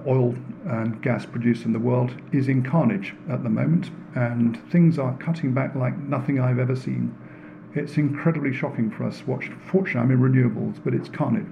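Gravelly man giving an earnest lecture. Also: medium pitch, muffled recording, slight background noise. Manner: earnest, deliberate, emphatic repetition for effect. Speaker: speaker giving a talk, works in renewable energy. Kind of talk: public lecture to a room. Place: indoor room in front of an audience.

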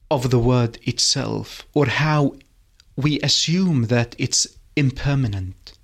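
Slow, halting man with a gravelly voice. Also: medium pitch. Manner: halting, repeats words, searches for phrasing. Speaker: poet. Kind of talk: reflective interview answers.

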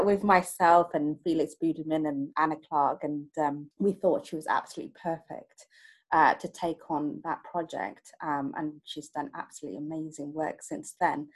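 Low-voiced woman, chatty and hesitant. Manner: hesitant, many fillers, laughs often. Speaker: project co-lead. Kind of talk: casual podcast conversation.

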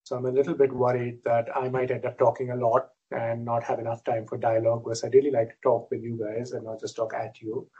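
Middle-aged male professor from India, husky mid-pitched voice, easygoing lecture delivery. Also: fast talker, hardly any pauses, muffled recording. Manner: relaxed, self-deprecating, many fillers. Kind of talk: academic lecture.

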